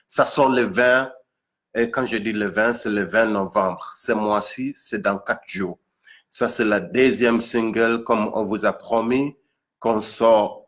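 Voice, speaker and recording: A man from Accra with a Ghanaian accent and Ga as his first language, explaining things informally to an audience, haltingly and repeating words for emphasis. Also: on the low side, a bit bright. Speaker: musician presenting own single.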